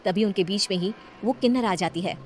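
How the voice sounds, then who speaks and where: A woman storyteller reading scripted narration for an animated tale. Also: medium pitch, fairly quick, slight background noise. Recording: music behind the voice.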